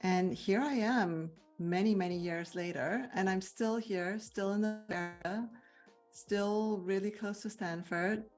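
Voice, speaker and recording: A woman's voice: medium pitch, measured pace, music in the background. A woman from Malaysia who works as a CEO coach, answering interview questions in a thoughtful, earnest way. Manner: thoughtful, earnest, with fillers and restarts. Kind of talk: interview, reflective personal answers.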